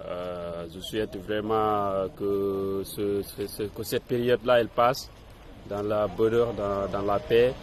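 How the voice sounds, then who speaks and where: A man from Senegal answering questions in a street interview, speaking softly and hesitantly with frequent pauses and restarts. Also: fairly low pitch, flat delivery. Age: adult, older than the hostel's young residents. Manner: hesitant, many pauses and restarts, soft-spoken. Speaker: interviewee, resident of a workers' hostel.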